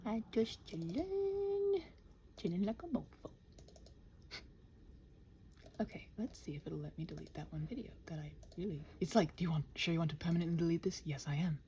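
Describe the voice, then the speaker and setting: A woman rambling casually to listeners as she works, muttering and thinking aloud in a self-deprecating way, her soft voice often dropping near a whisper. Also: fairly low pitch, animated, brisk, a little hiss or hum. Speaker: audio roleplay and ASMR creator.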